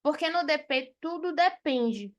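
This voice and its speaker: A woman's voice: high-pitched, animated, unhurried, dull audio. A woman from Salvador, an accountant teaching a live payroll class, talking frankly and chatting with her students. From Salvador, Bahia, Brazil. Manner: frank and chatty, with frequent tag questions.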